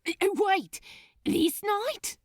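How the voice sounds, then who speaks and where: A woman's voice: very high-pitched, animated, slow pace, dry recording, studio quality, pitched above the performer's own voice, heavily acted. A woman voicing a flustered, anxious male demon underling in an audiobook, stammering and urgent. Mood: alarmed.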